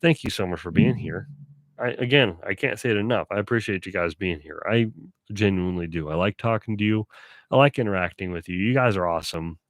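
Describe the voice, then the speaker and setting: A man's voice: deep voice, brisk, dry recording. A man streaming live in casual chat, rambling and self-correcting, a bit stuffy and sore-voiced.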